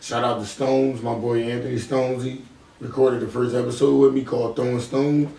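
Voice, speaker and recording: A man's voice: medium pitch, level tone, normal speed, gravelly, dark tone. A man with a Philadelphia accent in casual podcast conversation, talking in slangy, profane language. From Philadelphia, United States. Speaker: podcast host. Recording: roomy echo, distant mic, faint noise.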